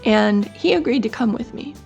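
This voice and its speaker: A kind, brave little female pig from a children's story, voiced by a woman. She sounds earnest and eager, pitched up high with slight grit. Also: expressive, normal speed, vocal fry, a little mellow, highs cut off, faint music behind.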